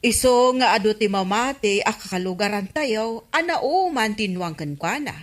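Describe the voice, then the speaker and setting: A woman's voice: mid-range voice, animated, moderate pace, natural voice. A woman playing a chatty, gossipy villager in a Filipino radio comedy drama, with an Ilocano accent.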